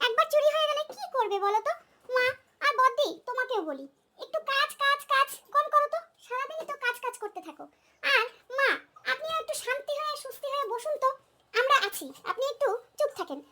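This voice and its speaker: Woman acting as young cartoon daughter-in-law, squeaky high, bright, hot-headed, kind, chattering, pleading. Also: expressive, a little fast, heavily acted. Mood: nagging, alarmed. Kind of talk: comic cartoon dialogue.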